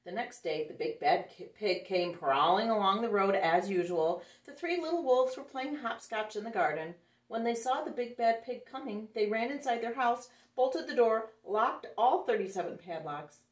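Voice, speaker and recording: A woman reading a picture book aloud to children in an animated, expressive way, recorded in an echoey room a little away from the mic. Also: a little high, normal speed, hardly any pauses, far from the mic. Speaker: children's storyteller.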